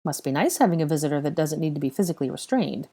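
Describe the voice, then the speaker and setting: A woman's voice: sits low, animated, very fast, dark tone. A woman playing a chatty desk clerk man. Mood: friendly. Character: friendly male ministry desk clerk.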